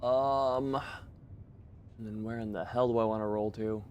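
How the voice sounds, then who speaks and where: Low-pitched man thinking aloud. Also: fairly even intonation, a little slow, darkish tone, muffled recording, slight background noise. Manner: thinking aloud, hesitant and musing. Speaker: game streamer. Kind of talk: casual live gameplay commentary.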